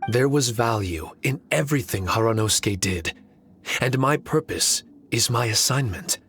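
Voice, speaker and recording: Slow, solemn male guardian spirit. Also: medium pitch, fairly bright tone, a little hiss or hum. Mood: calm, resolute. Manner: measured, formal, reflective delivery. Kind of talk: scripted dramatic game dialogue.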